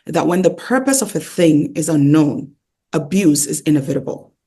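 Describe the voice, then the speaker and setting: A woman's voice: low-pitched, lively intonation, moderate pace, dark tone, breathy edge. A woman, speaking as an interview guest in an emphatic, conversational teaching style, repeating key phrases and asking rhetorical questions. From Ghana. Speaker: interview guest, pastor and wellness coach.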